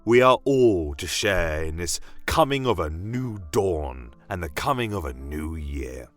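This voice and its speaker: A man plays a genial alchemist doctor from a fantasy audio tale, with a deep voice that rises and dips expressively. He welcomes the listener in florid, formal storytelling, with music playing underneath. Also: animated, unhurried, no room sound. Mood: warm, festive. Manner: formal, florid, theatrical delivery. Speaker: in-character narrator. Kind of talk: scripted in-character fantasy storytelling.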